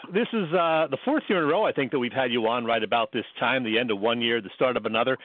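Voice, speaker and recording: A man's voice: mid-range voice, phone-quality sound, a little hiss or hum. A man co-hosting a sports radio show in a conversational style, setting up questions for a guest.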